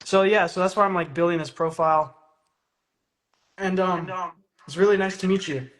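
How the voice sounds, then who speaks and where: A man's voice: high-pitched, moderate pace, a bit bright. A young man hosting a casual phone-streamed interview, hesitant and full of fillers. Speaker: interviewer host. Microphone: phone on a live stream.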